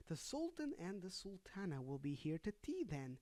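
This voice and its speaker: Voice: mid-range voice, wildly swooping pitch, moderate pace, a little mellow. Storyteller as an eager young storybook princess. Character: eager, naive young princess giving instructions.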